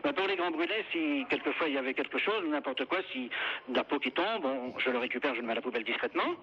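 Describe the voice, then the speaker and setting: A man's voice: medium pitch, animated, quick pace. A man working as an interim supermarket manager, talking politely on the phone, agreeable and deferential, repeating things to confirm. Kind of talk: polite telephone conversation. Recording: dull, faintly noisy phone line.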